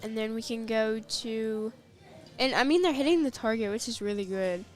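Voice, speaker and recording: A woman's voice: on the high side, moderate pace, soft background music. A teenage girl with an American accent hosting a casual live sports broadcast, informally giving camera directions as she talks.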